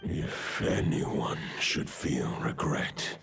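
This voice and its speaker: A man voicing an ancient, solemn wolf spirit in a fantasy game, gravelly and breathy, with grave, formal phrasing. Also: drawn-out words, noisy background. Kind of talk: fantasy video game acting.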